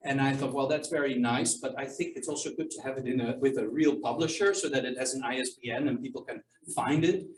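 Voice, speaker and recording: A man's voice: mid-range voice, fast, hardly any pauses. A man who is not a native English speaker, an author and archivist answering audience questions at a book launch, thoughtful and hesitant with frequent ums and restarts. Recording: echoey room, mic from far off, faint noise.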